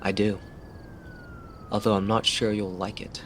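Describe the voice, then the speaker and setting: A man playing a determined, tough police detective in an audio drama, firm, deliberate and confrontational. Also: fairly low pitch, flat delivery, fairly bright tone, a little hiss or hum. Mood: guarded, confident.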